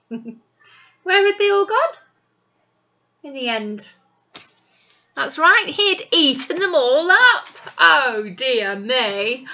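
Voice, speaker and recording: A woman's voice: high voice, bright, forceful tone, lo-fi sound. A middle-aged woman, a teacher reading a picture book expressively to young children and coaxing them with questions. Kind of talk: animated storytime read-aloud for young children. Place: small classroom, indoors.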